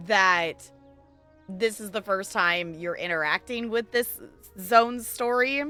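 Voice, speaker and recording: A woman's voice: medium pitch, fairly bright tone. A woman livestreaming a game, chatting casually and informally with her viewers as she plays. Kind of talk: casual live gameplay commentary and chat.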